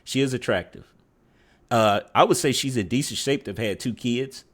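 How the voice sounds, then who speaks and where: A man commenting on a dating show clip in a judgmental tone, halting with frequent fillers and restarts, often tagging his points with "right?". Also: mid-range voice, fairly bright tone. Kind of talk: reaction commentary.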